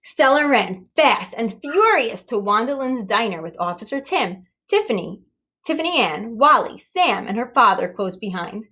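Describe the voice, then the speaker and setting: A woman's voice: fairly high pitch, normal speed, husky. A woman reading a children's story aloud expressively, with little asides and questions to her listeners. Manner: animated, engaging questions to listeners. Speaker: storybook reader.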